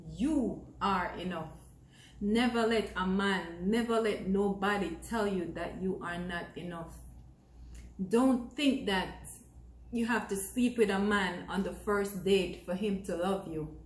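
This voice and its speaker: A woman's voice: mid-range voice, roomy sound, a little hiss or hum, poor audio. A young Jamaican woman with a Jamaican accent, talking to camera in an earnest, encouraging way that repeats phrases for emphasis. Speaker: vlogger. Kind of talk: casual talk to camera.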